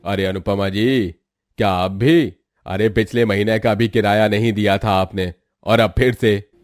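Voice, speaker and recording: A man's voice: fairly low pitch, steady tone, unhurried, no room sound, studio quality, lightly acted. An actor playing a stern, impatient male landlord in a cartoon story. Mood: annoyed.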